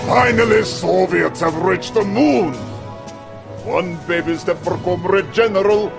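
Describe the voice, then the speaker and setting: An actor playing a jovial, bombastic middle-aged Soviet premier with a Russian accent and exuberant delivery in a game cutscene. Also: male voice, high-pitched, measured pace, gravelly, over music. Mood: jubilant, excitable. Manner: exuberant, swinging between shouts and hushed scheming. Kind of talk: theatrical video game cutscene acting.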